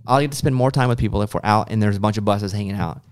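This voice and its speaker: A man's voice: fairly low pitch, rapid-fire, clean tone. A man hosting a podcast, chatting casually and acting out little imagined quotes as he talks. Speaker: podcast host. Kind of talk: casual interview chat.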